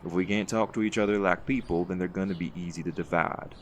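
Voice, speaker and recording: A man playing a seasoned, folksy leader of a hunt in an audio roleplay, gravelly and reassuring, with a fond teasing streak. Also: low-pitched, fairly even intonation, quick pace, slight background noise, lightly acted. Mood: warm, reflective.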